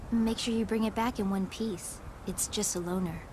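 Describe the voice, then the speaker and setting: A woman's voice: medium pitch, fairly even intonation, unhurried, close to an everyday voice. A woman playing a cool young female ninja in a video game cutscene, loyal and lightly teasing. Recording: loud ambient noise behind.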